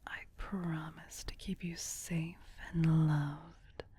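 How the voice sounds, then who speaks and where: A woman voicing a tender, possessive vampire queen in a soft, breathy whisper, speaking intimately as ASMR roleplay. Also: deep voice, level tone, a little hiss or hum. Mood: soothing, loving. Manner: slow, intimate, doting. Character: tender, possessive vampire queen soothing her beloved pet.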